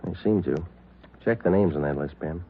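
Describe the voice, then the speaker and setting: An American actor playing a terse, world-weary police detective sergeant in a 1940s radio drama, with a dry, matter-of-fact delivery. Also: male voice, low voice, normal speed. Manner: clipped, matter-of-fact, deadpan. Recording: noisy, narrow-band old radio recording.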